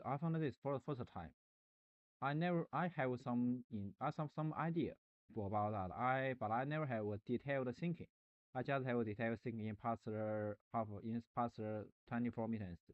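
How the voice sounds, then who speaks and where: A man streaming a rambling philosophical monologue, halting and searching for words, often restarting and repeating himself. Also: mid-range voice, no room sound, muffled recording.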